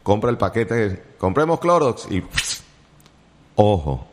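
Deep-voiced chatty Colombian radio host. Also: male voice, very animated, takes it slowly. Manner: joking, chatty, teasing asides. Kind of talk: casual morning radio chat. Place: radio studio.

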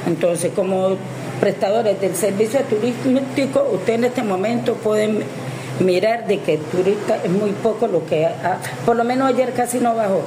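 A woman's voice: medium pitch, measured pace. Woman tourism worker, echoey and noisy. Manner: rambling, restarting complaint. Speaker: tourism service provider. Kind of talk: on-site news interview. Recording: echoey with noise.

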